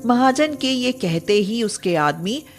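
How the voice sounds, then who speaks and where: A woman narrating a scripted story in an expressive, dramatic way. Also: a little high, slow pace, soft background music.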